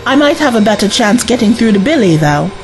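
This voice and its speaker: A woman's voice: fairly high pitch, sing-song, brisk, music in the background. A young woman playing a brave, caring female companion in a sci-fi audio drama, earnest and direct. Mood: worried, earnest.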